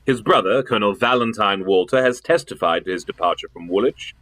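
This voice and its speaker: An actor playing a pompous, imperious elder-brother government official in a radio drama, with emphatic theatrical delivery. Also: male voice, lowish voice, fairly quick, fairly bright tone, close to an everyday voice, rough recording. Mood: brisk, assured.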